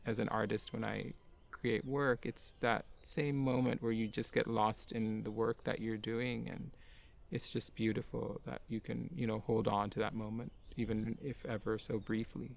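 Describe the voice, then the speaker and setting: A man who grew up in Kuwait, a garden owner and artist, talking in a soft-spoken, musing way with light laughs. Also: lowish voice, level tone, moderate pace, vocal fry. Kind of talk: reflective personal talk for a garden video.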